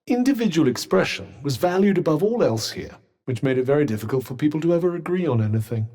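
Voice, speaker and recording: Sardonic, swooping British talking cuff. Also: male voice, on the high side, sing-song, fast, mellow. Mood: erudite, explanatory. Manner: dry, sarcastic, pompous, articulate. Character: sardonic, haughty talking magical cuff, erudite male companion. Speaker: voice actor. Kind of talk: video game companion dialogue.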